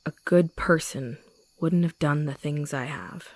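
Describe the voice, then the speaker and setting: A woman playing a guilt-ridden young monster hunter turned gentle rebel in a roleplay audio drama, softly confiding in the listener. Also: sits low, a little slow, no echo. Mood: remorseful, tender. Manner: soft, confiding, addressing the listener directly.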